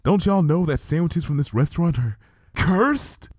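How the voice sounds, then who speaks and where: A man's voice: fairly high pitch, animated, moderate pace, no room sound, clean studio sound, pitched above the performer's own voice. A man voicing an earnest, spooked young female country pony with a folksy Southern US drawl, in a cartoon fan-fiction reading. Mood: ominous, earnest. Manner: folksy drawl, dramatic hushed warnings.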